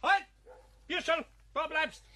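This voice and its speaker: An actor playing a gruff but kindly older craftsman in a children's audio drama, folksy and blustering, with a Bavarian accent. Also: very high voice, fairly even intonation, a little slow, bright, forceful tone, heavily acted. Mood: stern, angry.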